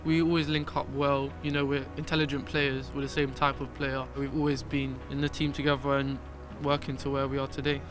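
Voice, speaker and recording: A young man in his late teens from south London with a South London accent, a young footballer giving calm, confident interview answers outdoors in a stadium. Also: mid-range voice, a little fast, few pauses. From South Norwood, south London. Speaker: academy footballer. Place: outdoors in empty stadium stands. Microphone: stand-mounted mic. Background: background music.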